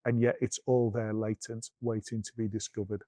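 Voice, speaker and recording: A man's voice: lowish voice, little pitch movement, normal speed, mellow, no room sound. A man interviewing conversationally, putting emphatic, probing questions to a guest. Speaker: podcast interviewer.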